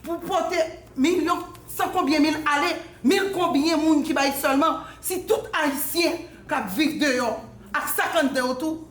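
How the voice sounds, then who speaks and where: Fervent high-voiced woman. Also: moderate pace. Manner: fervent, rhythmic repetition, mixes French and Creole. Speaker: community speaker. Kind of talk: impassioned community speech. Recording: echoey hall with noise.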